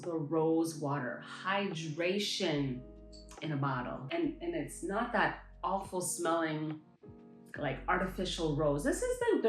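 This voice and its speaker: A woman who owns a henna hair care shop, chatting enthusiastically and directly to viewers about her products. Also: fairly low pitch. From Montreal, Canada. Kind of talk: product showcase vlog. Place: her salon and shop space. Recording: roomy space with light music.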